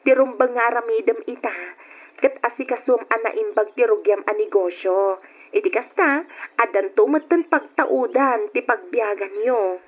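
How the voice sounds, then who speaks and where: An actress playing a kind, generous married younger sister in an old radio drama, speaking gently and reassuringly. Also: female voice, fairly high pitch, normal speed, natural voice. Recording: dull lo-fi old radio recording.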